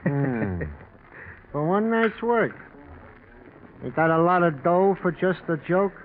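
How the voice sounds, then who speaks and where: A man playing an easygoing, gullible hobo in a 1940s radio mystery, with rough, slangy, ungrammatical talk. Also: fairly high pitch, lively intonation, slow pace. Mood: wary, curious. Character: easygoing, gullible male hobo hired for a job. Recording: scratchy, narrow-band 1940s radio recording.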